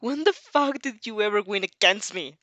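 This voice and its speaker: A woman's voice: mid-range voice, expressive, normal speed, fairly bright tone, no room sound, lightly acted. Woman voicing a brash, sneering schoolboy bully. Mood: exploding. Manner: sneering, boastful, foul-mouthed. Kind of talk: amateur audio fanfic acting.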